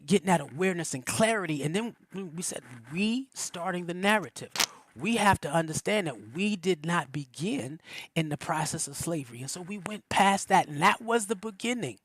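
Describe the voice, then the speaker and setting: A man's voice: on the high side, a bit bright, no echo. A man, a reverend, giving a loose, conversational spiritual teaching on a livestream, with many fillers and restarts.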